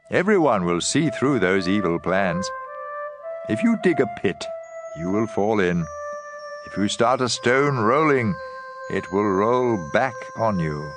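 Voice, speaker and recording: A man giving a measured, expressive, scripted narration of scripture as a dramatized audiobook. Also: fairly low pitch. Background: plucked guitar music.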